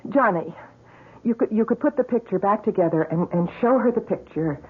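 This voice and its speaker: An anxious young fiancée in a radio thriller, pleading earnestly. Her voice is husky and sits at a medium pitch, heard through a muffled old recording. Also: animated, brisk. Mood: urging. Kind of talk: old-time radio drama acting.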